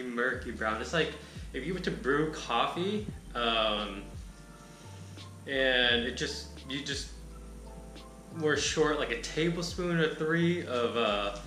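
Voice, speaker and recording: A man reviewing a beer in a relaxed, rambling way with a raspy voice. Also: medium pitch, reverberant. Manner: laid-back, rambling, lots of filler words. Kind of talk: casual chat.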